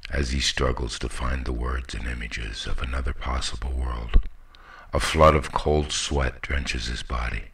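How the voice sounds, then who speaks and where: A man's voice: a bass voice, fairly bright tone. A man reading a literary story aloud as an audiobook narrator, in scripted narration. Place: voice-over with music behind.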